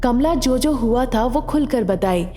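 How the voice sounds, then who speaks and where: A woman's voice: on the high side, lively intonation, unhurried, mellow, big-room sound, faint music behind. A woman narrating a scripted story as a storyteller.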